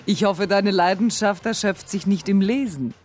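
A woman's voice: medium pitch, normal speed, a little mellow, close to an everyday voice. An actress playing a brazen, flirtatious and mocking woman of the nightclub in a noir radio play. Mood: flirtatious, teasing. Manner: sassy, taunting.

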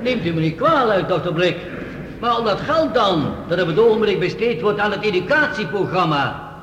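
A man's voice: high voice, lively intonation, normal speed, a bit bright, slight background noise. An actor playing a polite, earnest male delegate raising an objection in formal radio play debate. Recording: echoey hall, dull distant sound.

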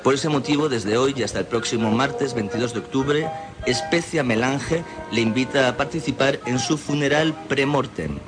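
An actor plays a dying man with a Castilian Spanish accent and a medium-pitched voice. He delivers a florid radio drama monologue, solemn and morbidly defiant, with music clearly playing behind him. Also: normal speed, lightly acted. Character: dying man, a self-styled monster, morbidly defiant, scripting his own funeral. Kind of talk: scripted literary radio monologue.

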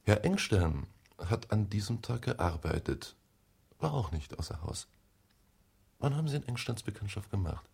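Deep gravelly police detective. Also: male voice, lively intonation, moderate pace, darkish tone, heavily acted. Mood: formal, probing. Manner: formal, insistent, pointed questioning. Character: shrewd, probing male homicide detective. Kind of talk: radio drama interrogation dialogue.

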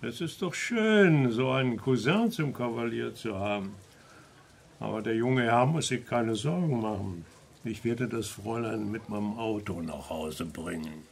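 A smug official courting a lady in a radio play. His voice is lowish and creaky, his manner suave and condescending. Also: expressive, a little slow. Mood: smug, polite. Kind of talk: radio drama acting.

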